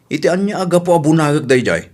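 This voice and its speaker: A man's voice: on the high side, animated, fast talker, a little mellow, natural voice. A man playing an exasperated village husband, scolding and complaining wearily in a comedic radio drama.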